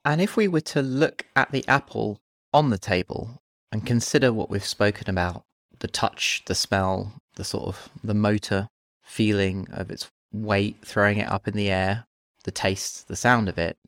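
A man hosting a conversation, calmly guiding his guest through imaginative exercises with slow, listed prompts. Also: fairly low pitch, no echo, clean studio sound. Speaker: host.

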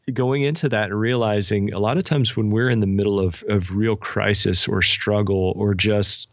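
Low, creaky-voiced man explaining thoughtfully. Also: dry recording. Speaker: guest author and pastor. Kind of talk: conversational interview, reflective explanation. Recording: dull, lo-fi, dry sound.

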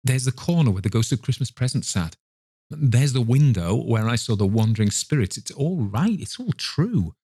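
A man's voice: mid-range voice, very animated, normal speed, warm tone, husky, dry recording, pitched above the performer's own voice. An old miser from a storybook, acted out by a man, repentant and wildly fervent. He exclaims breathlessly and theatrically, in a very dry recording with no room sound. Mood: giddy elation. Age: older. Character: excitable old miser, repentant, giddy with joy.

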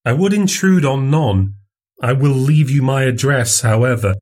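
A man's voice: medium pitch, animated, darkish tone, voice pushed up, natural voice. A man reading aloud and voicing a wealthy older male doctor who is flustered and placating. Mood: nervous, placating. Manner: hurried, placating, fussy politeness.

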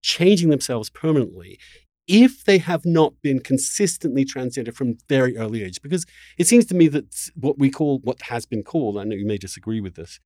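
A man hosting a spirited interview debate, halting and insistent, often repeating words mid-sentence. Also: mid-range voice, expressive, a little mellow, no echo. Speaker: interview host.

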